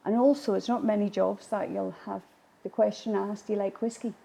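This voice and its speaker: A woman whose first language is Irish Gaelic, answering interview questions in a reflective way with hesitant pauses mid-phrase. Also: medium pitch, fairly even intonation, vocal fry, mellow. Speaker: whisky distillery visitor attraction manager. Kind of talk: interview, recounting a business launch.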